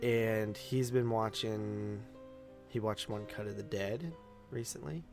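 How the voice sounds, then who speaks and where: Man, lowish voice, podcast co-host, casual review chat, looping conversational phrasing, music playing behind. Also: slow pace, creaky.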